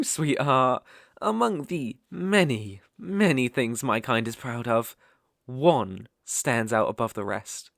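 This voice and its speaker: Casting: male actor as possessive British dark elf master for ASMR roleplay; unhurried, condescending, coaxing, widely swooping intonation. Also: medium pitch, dry recording, clean studio sound. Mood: smug, proud. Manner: teasing, condescending, coaxing, with soft chuckles.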